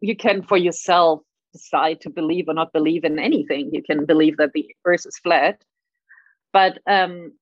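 A woman's voice: low voice, fairly even intonation, normal speed, no room sound, lo-fi sound. A middle-aged woman with a German accent, answering interview questions with frequent stammered repeats and light laughs. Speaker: guest, climate scientist.

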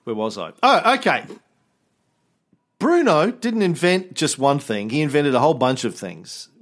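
A man's voice: fairly high pitch, big pitch swings, unhurried, fairly bright tone. A man hosting a podcast in casual chat, joking around and swearing freely. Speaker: podcast host.

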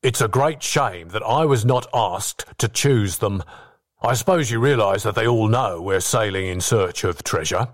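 A man voicing a stern, wary ship's captain who speaks in a measured, formal, commanding way. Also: on the low side, lightly acted. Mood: wary, disapproving.

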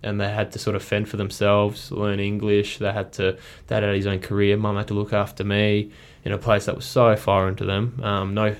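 A young man born in Belarus, talking in an easygoing, thoughtful way with frequent pauses as a guest in a casual chat. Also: fairly low pitch, monotone. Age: young adult. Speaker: guest athlete.

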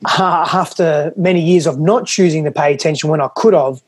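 A man's voice: on the high side, a little fast, breathy edge, no echo. A man from Western Australia, whose was Greek, speaking earnestly and reflectively as a podcast guest, often restarting his phrases. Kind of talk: reflective interview talk.

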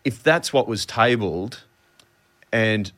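An Australian man, a former sprinter, chatting as a podcast guest in a halting way with many restarts. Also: fairly low pitch, fairly bright tone. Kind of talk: casual podcast chat.

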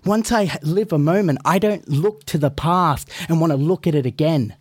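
Cocky wrestler guest, brash trash talk, dry booth. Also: male voice, fairly high pitch, moderate pace, husky, no room sound. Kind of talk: boastful in-character banter.

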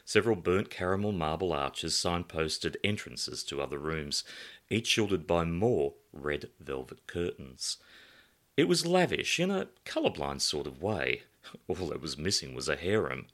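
Male author reading his novel, deep voice, highly animated first-person narration. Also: a little slow.

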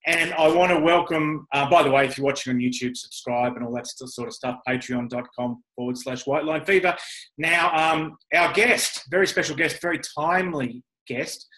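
A man's voice: fairly high pitch, normal speed, fairly bright tone, poor audio. A man hosting a podcast interview in a casual, chatty, self-deprecating and rambling way. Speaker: podcast host.

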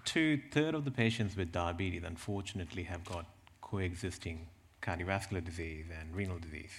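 A man's voice: low-pitched, brisk, a little hiss or hum. A man presenting as a clinician at a conference, telling anecdotes and posing rhetorical questions with emphasis. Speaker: clinician presenting at a health event.

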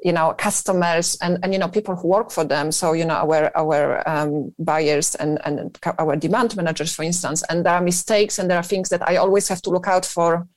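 A woman's voice: fairly low pitch, fairly even intonation, moderate pace, few pauses, no echo. A woman with a Polish accent, a business founder talking at length as a radio interview guest and leaning often on "you know". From Poland. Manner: talkative, thinks aloud with many "you know" fillers.

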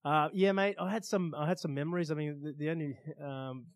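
A man with an Australian accent telling personal stories as an interview guest, in casual, halting phrases with pauses. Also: fairly high pitch, no echo, clean studio sound. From Australia, born in Iran. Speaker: interview guest, stunt pilot and motivational speaker.

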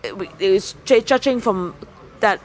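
Woman, winery owner, frank and halting, medium pitch, faint background noise. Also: rough recording. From Hong Kong. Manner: frank, with frequent restarts and hesitations. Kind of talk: casual interview chat.

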